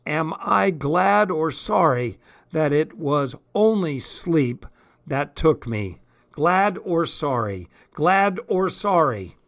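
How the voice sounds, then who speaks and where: A man's voice: fairly high pitch, animated, takes it slowly, vocal fry, fairly bright tone, pitched above the performer's own voice, heavily acted. A man voicing a grim, bitter tramp. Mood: brooding.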